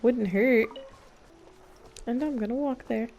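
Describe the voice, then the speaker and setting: A woman streaming a video game with a fairly high voice. She chats casually and playfully, answering the characters, with faint game music behind her. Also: slow, darkish tone. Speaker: gameplay streamer. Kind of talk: casual live game commentary.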